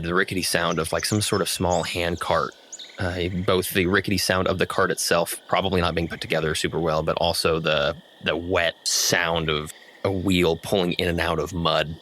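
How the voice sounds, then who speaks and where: A man's voice: sits low, fairly even intonation, normal speed, a bit bright, a little hiss or hum. A man working as game master, narrating a tabletop fantasy adventure.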